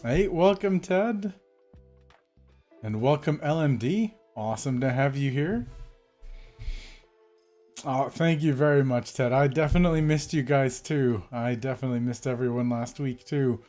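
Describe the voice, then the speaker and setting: A man's voice: mid-range voice, measured pace, pauses often, dark tone, faint music behind. A man hosting a calligraphy live stream, chatting casually and greeting viewers by name, with a slightly congested voice from a cold. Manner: friendly, greets viewers by name, rambling with fillers.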